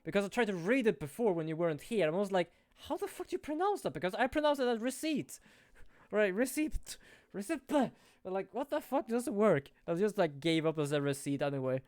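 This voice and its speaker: A man streaming a game playthrough, animated, swearing and laughing at himself as he reacts. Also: high voice, a little fast, dry recording, studio quality.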